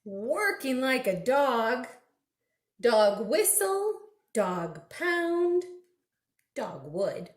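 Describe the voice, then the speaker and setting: Very animated woman reading at children's storytime. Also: fairly high pitch, drawn-out words, long pauses. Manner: expressive, addressing small children with prompting questions. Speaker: children's librarian reading picture books. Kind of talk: children's storytime read-aloud with questions. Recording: roomy, echoing indoor recording.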